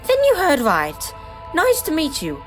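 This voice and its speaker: A friendly lady switcher engine acted by a woman, coy and politely teasing. The voice is high and lively, in audio drama style with faint music behind. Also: a little slow, full character voice.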